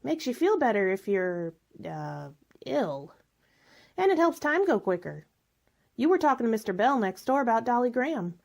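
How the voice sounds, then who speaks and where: A faded, lonely tippler played by a woman in a radio drama. Her voice is fairly high and swings widely in pitch, wistful and self-pitying with a bitter edge. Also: sing-song, moderate pace, vocal fry. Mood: sociable, brittle.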